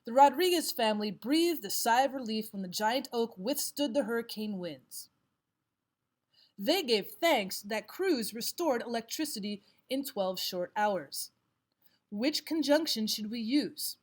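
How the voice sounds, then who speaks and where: A woman with a somewhat high-pitched voice narrates a scripted lesson. She speaks as a teacher reading exercises aloud, in a measured and instructional manner. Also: expressive. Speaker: English grammar teacher.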